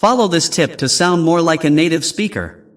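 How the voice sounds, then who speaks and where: A man narrating a language lesson in a slow, clear, announcer-like way. His voice sits a little high and swings widely in pitch. A faint hiss runs underneath. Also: lively intonation. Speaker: English teacher host.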